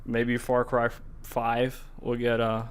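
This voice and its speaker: A man's voice: medium pitch, completely flat delivery, takes it slowly, clear, resonant tone. A man co-hosting a gaming podcast, talking in loose, casual conversation.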